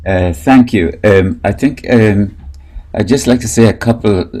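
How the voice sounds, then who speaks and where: A man presenting his own art installation in a live talk, halting and stopping often to find words. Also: lowish voice, darkish tone. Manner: halting, with many fillers and restarts.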